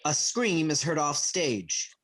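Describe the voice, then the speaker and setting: Stage directions reader: raspy, mid-pitched, straightforward scripted narration. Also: sing-song, slow pace, a bit bright.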